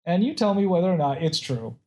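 A man's voice: fairly high pitch, expressive, quick pace, a little mellow. A man casually chatting and reading out odd facts, rambling with many restarts and frequent laughs.

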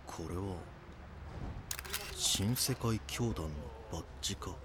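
A man playing a grave police detective who narrates an audio drama in a brooding, deliberate, dramatic way. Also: low voice, background noise.

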